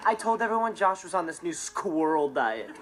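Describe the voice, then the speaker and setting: A man's voice: fairly high pitch, very animated, normal speed, very noisy. A young American man playing a cool, smug, mischievous teenage boy, delivering a sitcom monologue with cocky nonchalance. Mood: smugly mischievous. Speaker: sitcom actor.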